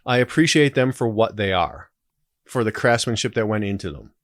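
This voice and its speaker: A man's voice: lowish voice, lively intonation, normal speed, dry recording, studio quality. A man in his forties talking casually as a podcast guest, reflective and opinionated. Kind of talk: casual conversational interview.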